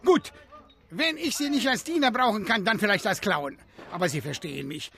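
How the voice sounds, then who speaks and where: An actor playing a boastful circus director in a radio play, with a theatrical barker's delivery. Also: male voice, sits high, animated, normal speed, creaky, fairly bright tone, full character voice. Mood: gruff.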